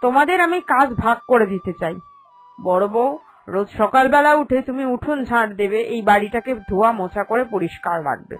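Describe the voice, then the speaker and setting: A woman's voice: on the high side, lively intonation, fairly quick, faint music behind. A woman playing a bossy, scolding old mother-in-law in a cartoon, stern and reproachful. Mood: commanding. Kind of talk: animated cartoon dialogue.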